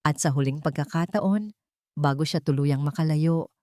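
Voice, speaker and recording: A woman's voice: low voice, normal speed, darkish tone, dry recording, studio quality. A woman narrating a scripted romantic drama with emotive, melodramatic storytelling. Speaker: narrator of audio love stories.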